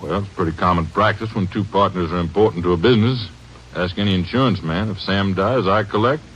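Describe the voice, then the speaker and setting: An actor playing a blunt, guarded farmer under questioning in an old-time radio crime drama. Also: male voice, deep voice, brisk, fairly bright tone. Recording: dull, noisy vintage radio recording.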